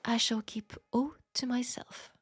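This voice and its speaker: A woman playing a flirtatious, caring Parisian seamstress in an intimate steampunk audio roleplay, speaking with a French accent and a soft, hesitant delivery. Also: fairly high pitch, level tone, unhurried, husky, no echo. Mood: playful, teasing. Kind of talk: intimate scripted audio roleplay addressed to the listener.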